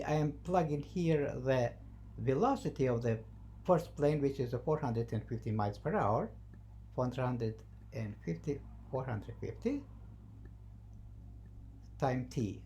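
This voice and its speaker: A non-native English speaker teaching math, thinking aloud haltingly and repeating phrases while writing out the steps. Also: mid-range voice, warm tone. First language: not English. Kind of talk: math lesson, working through a problem at the board. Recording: noisy, with a constant hum.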